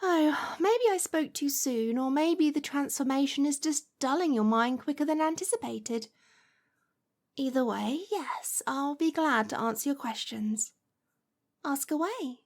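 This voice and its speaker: A woman's voice: high-pitched, a little slow. A woman playing a lovestruck, possessive witch in an ASMR roleplay, cooing and coaxing with a condescending sweetness. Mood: sweetly commanding.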